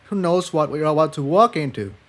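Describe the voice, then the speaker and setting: A man voicing an earnest, troubled male pony adventurer in a quiet, serious way for a storybook reading. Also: on the high side, a little fast, voice pushed up, lightly acted. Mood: troubled confession.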